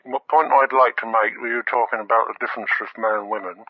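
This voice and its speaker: A stubborn, blundering caller played by a man argues on a comedy radio phone-in. He is mid-pitched, stammers and repeats himself, heard down a dull phone line. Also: steady tone, fast talker, slight background noise, natural voice. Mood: insistent.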